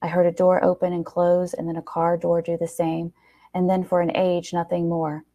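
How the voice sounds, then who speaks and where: A woman reading a short story aloud in an expressive, wry first-person narration with dramatic pauses. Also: lowish voice.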